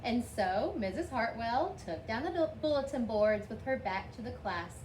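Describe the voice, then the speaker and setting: A woman's voice: a little high, animated, moderate pace, echoey room. A woman reading a picture book aloud expressively, lifting her voice for the children's lines. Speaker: storybook reader. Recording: faint background noise.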